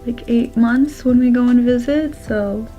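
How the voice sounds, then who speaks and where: A young woman with a slightly congested, raspy voice chatting casually through a makeup tutorial. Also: on the high side, unhurried, lo-fi sound, soft background music. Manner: chatty, drops to soft whispers at times. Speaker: beauty vlogger.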